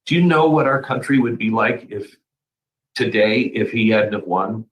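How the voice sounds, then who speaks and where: A man's voice: lowish voice, normal speed, poor audio. Earnest, urgent man on a talk show. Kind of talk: political talk show commentary.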